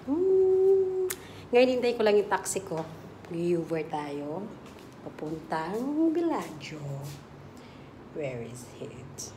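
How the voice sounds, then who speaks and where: A woman's voice: fairly low pitch, big pitch swings, takes it slowly, mellow, a little hiss or hum. A young woman with a Filipino accent vlogging on her phone, giggly and teasing, mixing languages. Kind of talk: casual vlog chat. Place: indoor home with stairs, later a mall. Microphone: phone at arm's length.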